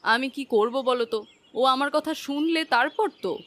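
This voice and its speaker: A woman playing a worried, protective village wife in an animated moral story, fretful and chiding. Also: high-pitched, moderate pace.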